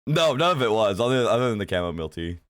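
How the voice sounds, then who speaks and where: A man's voice: on the low side, sing-song, very fast, fairly bright tone, no echo. A man co-hosting a casual podcast chat, stuffy-nosed and sniffly, laid-back and swearing freely. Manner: laid-back, profane, laughs often. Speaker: podcast co-host.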